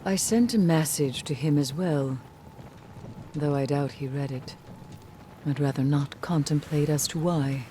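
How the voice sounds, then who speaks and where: An actress playing an earnest, composed woman leader, speaking formal, measured lines in a video game. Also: low-pitched, mellow, slight background noise. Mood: earnest, concerned. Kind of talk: scripted video game dialogue.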